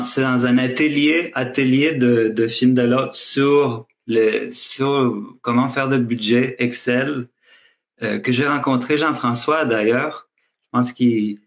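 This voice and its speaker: A filmmaker speaking on a video call, talking in a hesitant way with many restarts and fillers. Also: male voice, mid-range voice. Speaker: filmmaker guest. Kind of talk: casual panel talk.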